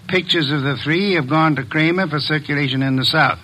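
A man's voice: a little high, a little fast, bright, forceful tone, poor audio. An actor playing a shrewd, formal male detective who speaks in a precise, commanding way, in 1940s radio style.

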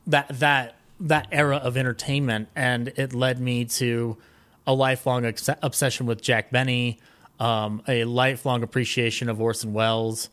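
A man speaking as a podcast guest, reminiscing casually in a rambling, halting way that often circles back mid-sentence. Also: mid-range voice, flat delivery, a bit bright. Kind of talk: casual conversational interview, reminiscing.

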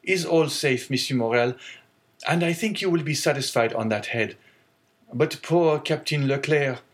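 A man's voice: medium pitch, a little slow, voice pushed up, lightly acted. A man voicing an earnest young sailor. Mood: sorrowful. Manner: earnest, sorrowful reporting. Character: young male sailor, calm and resolute. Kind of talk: audiobook character reading.

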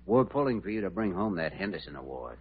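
Young radio doctor, lowish lively voice, genial and scheming, old muffled recording. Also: male voice, fast, a little hiss or hum. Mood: genial, attentive. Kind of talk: old-time radio drama acting. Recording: dull vintage radio recording.

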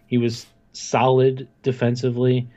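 Regular guy sports podcast co-host, medium pitch, blunt fan talk, casual. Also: monotone, a little slow, dark tone, highs cut off.

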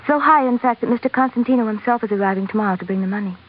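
A woman's voice: fairly high pitch, very fast, lightly acted. A woman playing a devoted, educated young sultana with an earnest manner in an old radio drama. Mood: confiding. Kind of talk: 1940s radio drama acting. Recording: dull, noisy old radio recording.

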